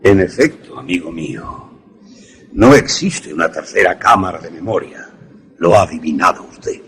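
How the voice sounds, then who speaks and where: An actor playing a vengeful, coldly polite mad professor in a radio suspense drama, speaking formally. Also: male voice, low voice, lively intonation, fairly bright tone. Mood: quiet menace. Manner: formal, measured, sardonic.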